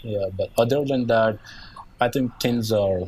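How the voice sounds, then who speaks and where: A man's voice: medium pitch, unhurried, a little mellow, a little hiss or hum. A young man with a light Nigerian accent, chatting as a guest and rambling with lots of "like" and "yeah". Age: young adult. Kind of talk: casual conversation.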